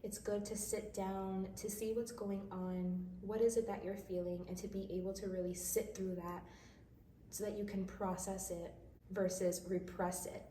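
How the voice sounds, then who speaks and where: A woman with a soft, dark-edged mellow voice, a little stuffed up, talks casually through a spiritual tarot reading. Her delivery is informal and reassuring. She sounds set back from the mic in a roomy, echoey space. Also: mid-range voice, little pitch movement, normal speed. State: slightly stuffy nose from crying. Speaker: tarot reader and host. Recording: distant mic, roomy echo, faint noise.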